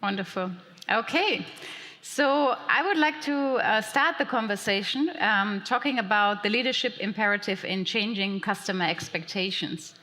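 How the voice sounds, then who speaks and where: A woman moderating a conference panel, upbeat and brisk as she introduces the speakers and asks questions. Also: medium pitch, bright, forceful tone. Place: conference hall. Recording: hall reverb.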